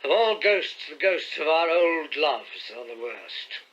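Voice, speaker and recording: Nervous old squire, as a reader puts him on, high and bright, lo-fi audiobook. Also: male voice, very animated, slow pace, breathy edge, exaggerated voice. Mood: agitated. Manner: exclaiming, agitated. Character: nervous older country gentleman, hearty host. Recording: dull lo-fi audiobook recording.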